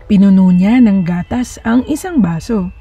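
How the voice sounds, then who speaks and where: A woman's voice: medium pitch, animated, normal speed, warm tone, husky, faint music behind. A woman with a Filipino accent reading a children's storybook aloud as the storyteller.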